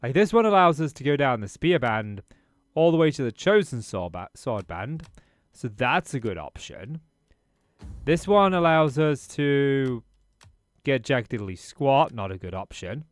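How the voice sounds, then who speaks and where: A man's voice: medium pitch, dry recording, studio quality. A British man commentating casually on a strategy game he is playing, thinking aloud, his voice a little off after a throat infection. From Britain. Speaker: gaming YouTuber. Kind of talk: casual let's-play commentary.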